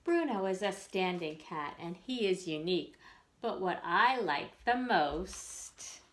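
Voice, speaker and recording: A woman reading a picture book aloud to young children in an expressive storyteller's manner, with questions for her listeners. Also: fairly low pitch, slight background noise. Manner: slow and expressive, pausing to ask listeners questions.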